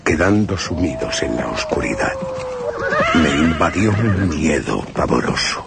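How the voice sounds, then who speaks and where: A man reading a first-person horror passage on the radio in a dramatic, expressive way. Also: extremely low pitch, unhurried, vocal fry. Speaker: reader of a novel passage. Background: loud music and horse sounds.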